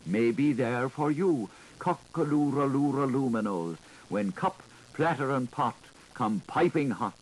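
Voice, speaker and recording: A man reading a literary audiobook in a theatrical, deliberate, declaiming manner, on a 1980s recording. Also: medium pitch, lively intonation, slight background noise, low-quality recording. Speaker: audiobook reader.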